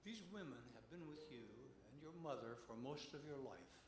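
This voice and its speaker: A man's voice: on the high side, animated, normal speed, background noise. A man delivering a scripted dramatic monologue in a church service. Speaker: dramatic reader. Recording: echoey church hall with music. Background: instrumental music.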